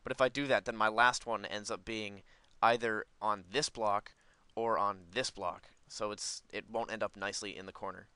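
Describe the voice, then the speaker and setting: A man's voice: lowish voice, steady tone, moderate pace, pushed and bright, clean tone, no room sound. A man giving casual let's play commentary, rambling and thinking aloud as host of a gaming video.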